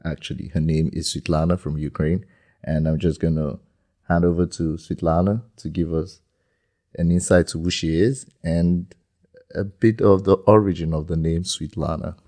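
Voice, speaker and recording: Deep-voiced man hosting a podcast. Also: steady tone, normal speed, warm tone. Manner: hesitant, repeats words when starting a question. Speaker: podcast host. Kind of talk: casual interview podcast.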